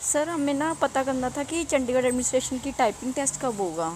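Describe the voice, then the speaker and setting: Pleading North Indian woman, slightly high-pitched. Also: fairly quick, a little mellow. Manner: pleading, persistent, polite. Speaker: caller asking about an exam. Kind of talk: phone enquiry. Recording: phone call with faint noise.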